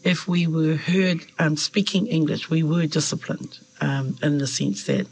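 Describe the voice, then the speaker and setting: Gravelly, husky New woman. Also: low-pitched, measured pace, poor audio. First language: Samoan. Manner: thoughtful, hesitant with fillers, animated when quoting others. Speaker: member of parliament. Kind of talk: reflective interview answers.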